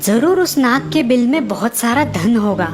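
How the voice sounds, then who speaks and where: A woman voicing a farmer's young son in an animated moral tale, eager, crafty and greedy. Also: on the high side, moderate pace, over music, lightly acted. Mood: sly.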